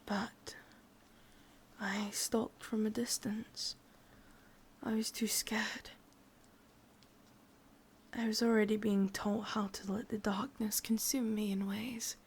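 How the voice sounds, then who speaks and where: A woman with an English accent voicing an ancient, lonely, lovesick witch in a breathy, husky whisper for an ASMR roleplay. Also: medium pitch, little pitch movement, a little hiss or hum. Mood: melancholy, yearning. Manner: slow, brooding, intimate delivery.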